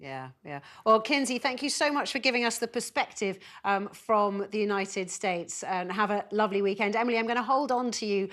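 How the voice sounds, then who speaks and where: A woman's voice: medium pitch, animated, a little fast, few pauses, a bit bright. A British woman presenting a live news interview, putting long, conversational questions to guests as she hosts.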